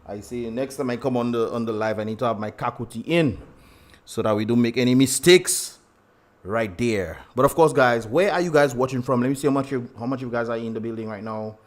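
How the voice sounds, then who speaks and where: A man's voice: medium pitch, a little fast. A young man with a Dominican accent hosting a casual livestream, chatty and laughing often as he greets viewers. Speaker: livestream host.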